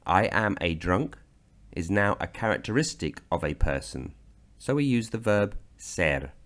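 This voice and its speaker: A man from Britain narrating a slideshow grammar lesson, explaining slowly and deliberately. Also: sits low, lively intonation, fairly bright tone. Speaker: language teacher.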